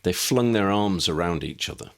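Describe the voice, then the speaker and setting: A male narrator reading fantasy fiction in a slightly low voice. His pitch moves around in a very lively way as he tells the story. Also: moderate pace. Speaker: audiobook narrator.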